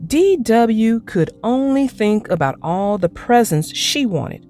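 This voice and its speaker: A woman reads a children's storybook aloud with very animated intonation. Her pace is slow and clear for young listeners, and music plays behind her. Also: a little high. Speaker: children's book narrator. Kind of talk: scripted storybook narration for children.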